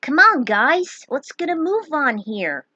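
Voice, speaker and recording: Woman playing a kind warrior leader, raised pitch. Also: very animated, takes it slowly, sharp tone, dry recording, dull audio, exaggerated voice. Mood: rallying.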